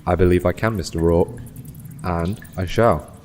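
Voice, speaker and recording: An actor playing a stern, commanding general with a measured, sardonic manner in a fantasy audio drama. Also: male voice, deep voice, steady tone, a little mellow. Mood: dry, menacing. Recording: clear background noise.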